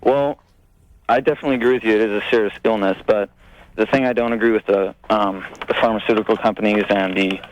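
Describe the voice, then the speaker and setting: A young man calling a radio show, talking in an earnest, halting, rambling way about himself. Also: lowish voice, fairly even intonation, highs cut off. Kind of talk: personal call-in conversation.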